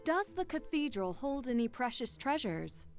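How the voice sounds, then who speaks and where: Direct, probing tourist sleuth, higher voice, lively delivery. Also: female voice, moderate pace, soft background music. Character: inquisitive female tourist investigator.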